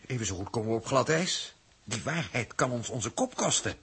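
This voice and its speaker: A man's voice: medium pitch, animated, normal speed, fairly bright tone, husky, heavily acted. An actor playing a cautious, literal-minded spaceman, hesitant and precise, in a 1960s science-fiction radio drama. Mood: worried.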